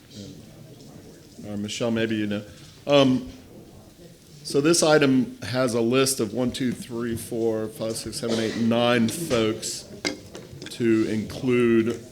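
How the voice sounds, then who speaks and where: A man's voice: medium pitch, a little mellow. A man on a city council, questioning staff in a plain-spoken, inquisitive way. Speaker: council member.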